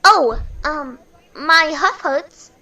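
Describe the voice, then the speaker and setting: A woman voicing an excitable, adoring little orange pegasus filly in a fan-fiction audio drama, childlike and eager. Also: sits high, drawn-out words, exaggerated voice. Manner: breathless childlike eagerness, hesitant stammering when nervous. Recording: loud music under the voice.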